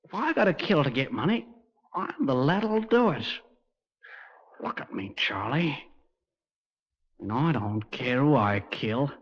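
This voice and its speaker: A rough, desperate criminal in a fifties radio drama. His slangy speech swoops up and down in pitch. The old recording is muffled, with long reverb. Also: lively intonation, measured pace, long pauses. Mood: desperate, threatening. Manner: rough working-class slang speech with bad grammar. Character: desperate, violent London criminals plotting a robbery. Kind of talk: 1950s radio drama acting.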